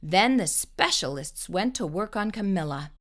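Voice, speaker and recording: A woman narrating a children's storybook in an expressive, deliberate way as a storyteller. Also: mid-range voice.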